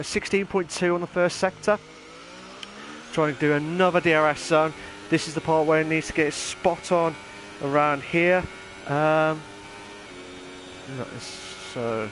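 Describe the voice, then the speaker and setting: High-voiced race commentator, rambling. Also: male voice, slight background noise. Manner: rambling, excitable, bursts into shouting. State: voice going hoarse. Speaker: sim racing league commentator. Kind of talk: live esports race commentary.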